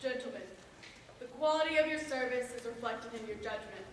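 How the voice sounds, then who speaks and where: A woman's voice: a little high, a little fast, natural voice. A woman on stage playing a formal, authoritative judge with a solemn, official manner. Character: formal, authoritative 19th-century courtroom judge. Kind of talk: stage play acting. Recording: echoey hall, distant mic, faint noise.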